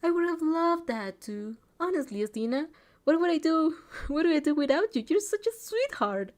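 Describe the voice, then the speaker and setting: A woman's voice: high voice, very animated, normal speed, pitched above the performer's own voice. A woman reading a story aloud, voicing a bubbly, fiercely supportive teenage girl in an exuberant, emphatic, teasing way. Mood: affectionate, gushing. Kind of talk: character dialogue in amateur story reading.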